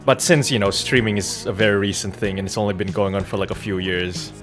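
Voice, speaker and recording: A man's voice: on the low side, fairly even intonation, quick pace. A man livestreaming a game, chatting in a rambling, informal, joking way with casual swearing. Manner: joking, irreverent. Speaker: game streamer. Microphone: Rode NT1-A studio condenser microphone. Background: background music.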